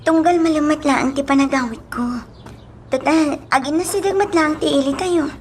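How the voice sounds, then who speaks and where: A woman's voice: high voice, normal speed, slight background noise, natural voice. A woman playing a humble young job seeker and devoted daughter in a radio drama, with an Ilocano accent. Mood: earnest, hesitant.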